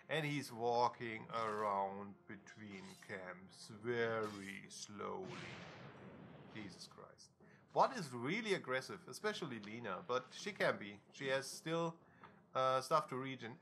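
A man casting a video game match on his own, critical and dry, with frequent ums and pauses. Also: medium pitch, pushed and bright, a little hiss or hum. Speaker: game caster. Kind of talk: casual solo game commentary.

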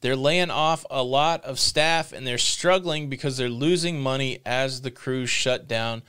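A man in his forties giving a steady, matter-of-fact news update as a vlog host in a home studio. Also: mid-range voice, unhurried, smooth. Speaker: cruise news vlogger. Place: home studio with purple lighting. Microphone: podcast mic in front.

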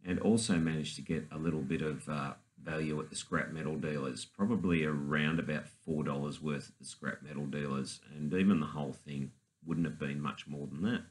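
A man, talking casually and haltingly in a garage as host of his own channel. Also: a bass voice, fairly even intonation. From Australia. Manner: rambling, hesitant with many fillers. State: hoarse after a night out. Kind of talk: casual vlog. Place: cluttered garage workshop.

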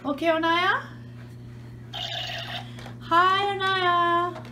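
A woman behind the camera in a home living room, gently and patiently playing pretend shop with a toddler. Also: very high-pitched, steady tone, extremely slow, slight background noise. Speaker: parent hosting a kids' channel. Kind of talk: playful pretend-play with a toddler.

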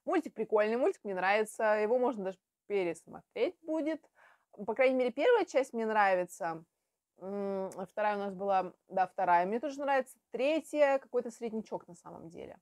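A woman's voice: medium pitch, expressive, brisk, darkish tone, no room sound. Chatty young woman, dry close sound. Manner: informal, chatty, swears freely. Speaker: host of reaction videos. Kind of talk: casual reaction commentary. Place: small home room with bookshelves, indoors.

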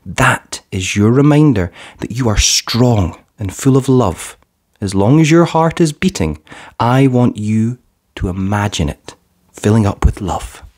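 A storybook narrator voicing a gentle, encouraging mother with a tender, solemn, reassuring delivery. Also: mid-range voice, lively intonation, unhurried.

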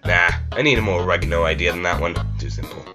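A man plays a laid-back skeleton jokester from a fan comic dub. His voice sits low and casual, the protective older brother delivering puns. Music plays clearly behind him. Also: fast, sharp tone. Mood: teasing, protective.

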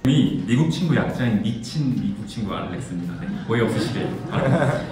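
A man's voice: fairly low pitch, lively intonation, rapid-fire, music in the background. A man rattles off polite guest introductions at great speed. His voice comes from far off in a very echoey hall. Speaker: ceremony emcee. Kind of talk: formal event announcing.

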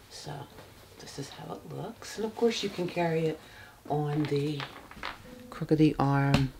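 Woman, 50s, soft-spoken, low voice, slow halting delivery, hissy background. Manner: unhurried, halting, many fillers. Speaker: handbag vlogger. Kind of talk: casual product demo. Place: bedroom, seated on a bed. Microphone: external camera mic.

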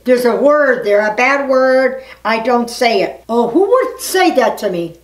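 High-pitched elderly grandma, blunt and animated. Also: female voice, unhurried. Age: 70s or older. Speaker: grandmother reading messages for a family video channel. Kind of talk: casual reading aloud with commentary. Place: living room, indoors in an armchair. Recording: echoey room, distant mic, music.

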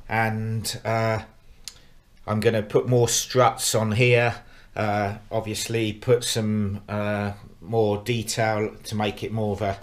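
A middle-aged man at home, explaining his model railway build in a halting way with many fillers, recorded on an action camera. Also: fairly low pitch, flat delivery. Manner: hesitant, lots of uh fillers and restarts, plain explanatory. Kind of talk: casual show-and-tell progress update. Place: living room at home, indoors.

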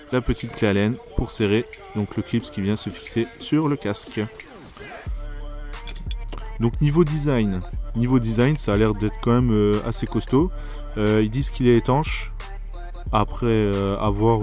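Low-pitched man, casual product review. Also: mellow. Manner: informal, hesitant delivery with mild slang.

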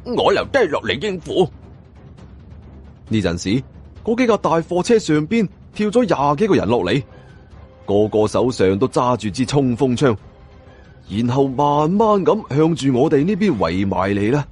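A man's voice: mid-range voice, very animated, moderate pace, a little mellow, husky, faint music behind. A man with a Hong Kong Cantonese accent narrating a suspense novel as a dramatic audiobook storyteller.

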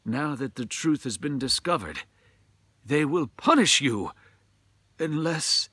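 Guy doing a solemn old-tale priest, raised pitch, slow and emphatic, ghost story warning. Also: expressive, sharp tone, slightly rough, no room sound, exaggerated voice. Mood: grave warning. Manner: grave, deliberate, emphatic. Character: solemn male Japanese priest warning gravely.

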